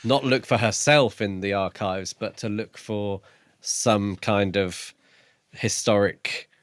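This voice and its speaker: Guy with a lowish voice, podcast co-host, measured book chat, close dry sound. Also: fairly even intonation, no room sound. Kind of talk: casual book discussion.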